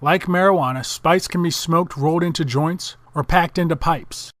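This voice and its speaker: Male narrator for a military training film. Fairly high voice, formal measured read, very dry recording. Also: fairly bright tone, no echo. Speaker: documentary narrator.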